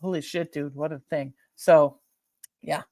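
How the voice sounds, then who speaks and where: A man hosting a film ranking video, talking informally and emphatically with slang and a halting, opinionated delivery. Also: on the high side, steady tone, a little mellow, no room sound, studio quality. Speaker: video host ranking films.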